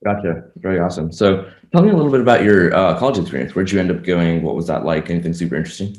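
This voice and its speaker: A man hosting a podcast, asking quick, upbeat interview questions. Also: deep voice, lively intonation, a little mellow, roomy sound. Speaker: podcast host.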